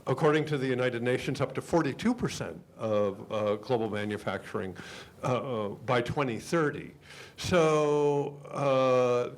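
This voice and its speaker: A man speaking as a guest on a panel, giving analysis with frequent hesitations and fillers. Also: medium pitch. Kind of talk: panel discussion answer.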